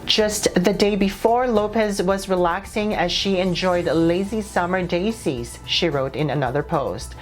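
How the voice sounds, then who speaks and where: A woman reports entertainment news from a script. Her voice sits slightly low, with soft music running underneath. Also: a little slow, hardly any pauses.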